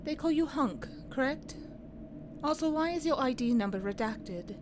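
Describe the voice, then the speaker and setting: A woman playing a formal, businesslike review board officer conducting a measured interrogation in an audio roleplay. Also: sits high, expressive, background noise. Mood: formal, probing.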